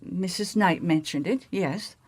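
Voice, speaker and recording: A woman's voice: lowish voice, a little slow, a little mellow. A woman playing a nervous payroll clerk in a radio mystery, speaking politely, hesitantly and evasively. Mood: nervous, guarded. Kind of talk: radio mystery drama acting.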